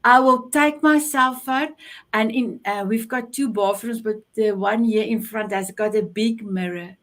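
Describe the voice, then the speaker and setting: A woman's voice: on the high side, measured pace, a bit bright. A South African woman whose first language is Afrikaans, hosting an online show over a video call and gushing with praise. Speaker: show host.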